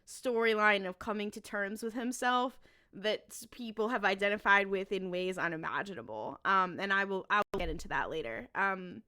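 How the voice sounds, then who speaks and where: A woman's voice: mid-range voice, moderate pace, bright, forceful tone, smooth. A woman on a podcast panel chatting casually as a fan making a case, emphatic and repeating phrases for effect.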